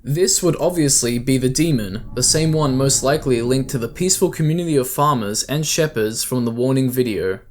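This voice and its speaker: A man's voice: medium pitch, brisk, few pauses, darkish tone. A man giving scripted, explanatory narration as the host of a video essay.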